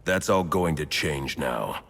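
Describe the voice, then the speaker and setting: An actor playing a grim, intimidating masked vigilante in a video game, gravelly, terse and commanding. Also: male voice, very deep voice, animated, slow pace, noisy background, heavily acted. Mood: menacing. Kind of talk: dramatic video game acting.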